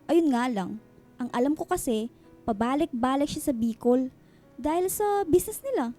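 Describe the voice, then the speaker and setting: High-pitched, teasing Filipina best friend. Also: female voice, animated, moderate pace, warm tone. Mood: eager, persuasive. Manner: gushing, slangy, exclamatory, bantering. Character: teasing, nosy, matchmaking woman friend in her thirties. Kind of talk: Filipino radio drama acting, conversational. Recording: music bed under the dialogue.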